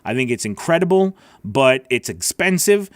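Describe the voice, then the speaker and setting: A man's voice: medium pitch, big pitch swings, moderate pace, no room sound. A man hosting a casual livestream Q&A as a voice-over coach, rambling with many fillers and restarts.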